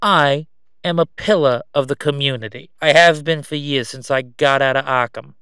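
A man's voice: mid-range voice, normal speed, fairly bright tone, clear, resonant tone, dry recording, close to an everyday voice. A man playing a cocky, wisecracking crime boss with sneering, folksy gangster patter in an audio roleplay. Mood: defensive, sneering.

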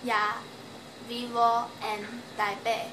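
A Taiwanese woman in her twenties, a native Chinese speaker and language student, answering questions slowly and carefully in a home video from a small bedroom. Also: fairly high pitch, little pitch movement, bright, forceful tone, echoey room, a little hiss or hum, poor audio. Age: 20s. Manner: slow, careful learner's speech with halting restarts. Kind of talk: self-recorded homework video interview.

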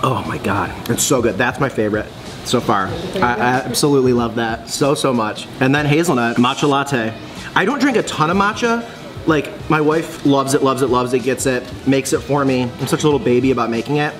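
A man in his forties vlogging in a bright coffee shop, excitable and gushing, laughing often as he tastes dishes. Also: mid-range voice, fairly quick, music in the background. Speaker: food vlogger. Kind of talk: casual food review chat. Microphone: phone camera at arm's length.

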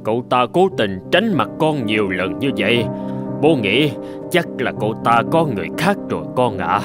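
A man's voice: mid-range voice, big pitch swings, moderate pace, natural voice. Man voicing a stern middle-aged cartoon father. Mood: concerned, firm. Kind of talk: animated fairy tale dubbing. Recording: background music under the dialogue.

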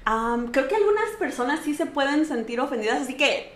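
A woman's voice: sits high, animated, a little fast. A young woman from Mexico, co-hosting a podcast in casual conversation, talking freely and correcting herself as she goes. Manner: chatty, self-correcting, thinks aloud. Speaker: podcast co-host. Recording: echoey room.

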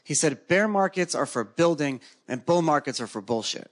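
A man whose first language is Hebrew, hosting a casual onstage interview at a conference with a handheld mic, talking in a joking, lively way. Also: fairly high pitch, moderate pace. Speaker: podcast host. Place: conference stage before a live audience.

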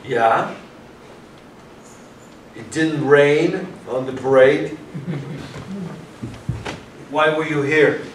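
A man plays an officious young detective in a stage reading. He is insistent and easily exasperated, with deliberate pacing. He is heard from far off in an echoey room. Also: a little high. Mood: stern. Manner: commanding, insistent questioning. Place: small performance room with audience, far camera. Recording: distant, echoey room recording with noise.

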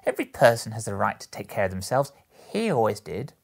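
A coarse, unashamed Victorian charwoman as a male reader acts her out. The delivery is loud and emphatic, full of scorn and defiance, with a gravelly edge. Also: lowish voice, big pitch swings, a little fast, exaggerated voice. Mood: defiant. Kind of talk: Victorian storybook character voice.

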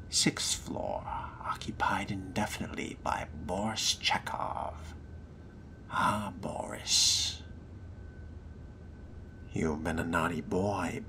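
A man voicing a sly, haughty vampire fledgling who muses to himself in a hushed, gravelly, theatrical whisper during a game role-play. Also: deep voice, heavily acted. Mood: sly menace. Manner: slow, theatrical, wry, formal wording.

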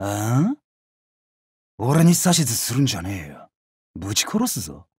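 An actor playing a brash, bloodthirsty young male samurai in a mobile game, with rough, swaggering speech. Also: sits high, very animated, moderate pace, dry recording, clean studio sound, heavily acted. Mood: menacing. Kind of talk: Japanese mobile game character voice lines.

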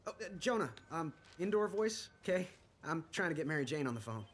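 A wisecracking young hero talks in an easygoing, joking way. His pitch bounces around as he chats. He sounds relaxed and playful. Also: fairly quick. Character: wisecracking young adult male scientist hero.

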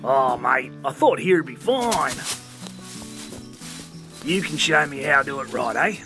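A woman's voice: extremely low pitch, lively intonation, measured pace, over music, full character voice. A woman voicing a cheery young male Australian backpacker in a homemade stop-motion cartoon, slangy and laid-back. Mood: easygoing, matey.

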